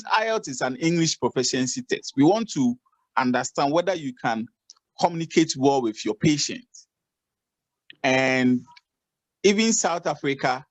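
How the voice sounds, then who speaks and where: Man adviser, unhurried and repetitive, dry video-call audio. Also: medium pitch, dry recording, dull audio. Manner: repetitive, restarts phrases, advisory. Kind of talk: informal video call explanation.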